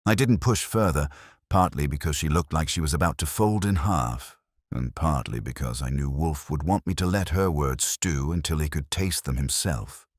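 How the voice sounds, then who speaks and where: A very deep, husky male actor's voice as a sardonic hardboiled detective's sidekick, telling a mystery audiobook. The delivery is dry and wisecracking, recorded close and dry. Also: dry recording, exaggerated voice. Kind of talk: first-person detective audiobook narration.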